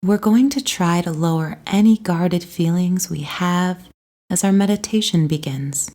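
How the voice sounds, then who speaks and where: A woman narrating a scripted guided meditation in a soothing, gentle, unhurried way. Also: fairly low pitch.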